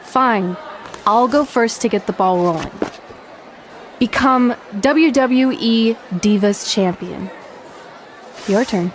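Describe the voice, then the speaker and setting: A woman's voice: on the high side, slow, pauses often, a faint crowd. A woman playing a driven, serious young aspiring wrestler in a video game, earnest and no-nonsense. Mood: earnest, determined. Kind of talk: scripted video game cutscene acting. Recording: faint background noise.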